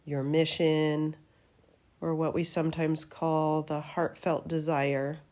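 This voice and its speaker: A woman with a low, mellow voice leads a guided meditation. She speaks very slowly and gently, in soothing phrases that trail off at the ends. Her tone is dark and relaxed, suited to a teacher of deep rest practice. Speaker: yoga nidra meditation teacher.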